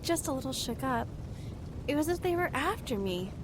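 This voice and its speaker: A woman voicing a plucky, quick-thinking young woman in an audio drama. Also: sits high, big pitch swings, background noise.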